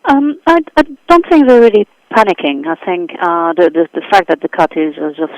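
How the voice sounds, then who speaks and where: A woman's voice: lowish voice, lively intonation, a little fast, no room sound. A woman speaking by telephone as an economic expert, giving spontaneous commentary in a hesitant way, repeating words as she reasons. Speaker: senior economic advisor, interview guest. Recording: dull, muffled phone line.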